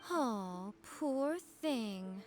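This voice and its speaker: A woman voicing a sly, commanding shrine priestess in a video game, measured, teasing and quietly threatening. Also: a little high, animated, faint music behind. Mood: mock sympathy.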